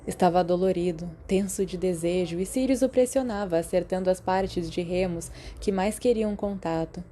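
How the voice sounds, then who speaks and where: A woman with a Brazilian accent reading a story aloud as an audiobook narrator, in an expressive scripted style. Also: fairly low pitch, moderate pace, soft background music.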